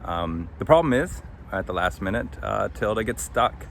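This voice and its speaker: A man casually recapping as a podcast host and author, halting and hesitant, speaking outdoors. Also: fairly low pitch, expressive, gravelly, a bit bright. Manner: hesitant, halting with long pauses. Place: outdoors under a tree. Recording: outdoor ambient noise.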